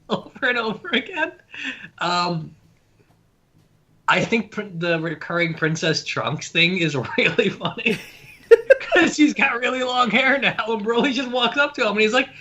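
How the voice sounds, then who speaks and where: A man's voice: sits high, pushed and bright. A man chatting casually and gigglingly as a guest reviewer on a podcast. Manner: giggly, laughs through sentences. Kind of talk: casual chat. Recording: dull lo-fi audio.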